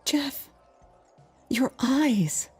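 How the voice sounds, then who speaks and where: A woman's voice: high voice, animated, drawn-out words, darkish tone, slightly rough, faint music behind, full character voice. A woman playing a frightened, faltering mother in a horror audio drama. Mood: horrified. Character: frightened mother of a deranged son.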